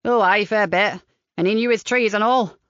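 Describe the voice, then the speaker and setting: A woman voicing a chatty, kindly old countryman gardener with a broad Lincolnshire dialect, slow and lower than her own voice. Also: fairly bright tone, no echo, lightly acted. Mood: folksy. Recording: slightly dull dry recording.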